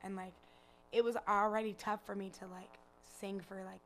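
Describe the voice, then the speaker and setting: A raspy-voiced young woman from Chicago, chatting loosely as a guest musician, full of fillers and profanity. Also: mid-range voice, little pitch movement, normal speed, a bit bright, a little hiss or hum. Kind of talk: casual interview chat.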